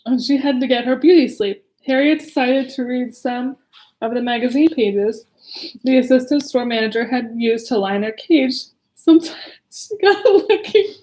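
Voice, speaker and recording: A woman's voice: high voice, normal speed, a little mellow, rough recording. A woman casually reading a children's story at home in a chatty, giggly manner, often breaking off to talk to her listeners.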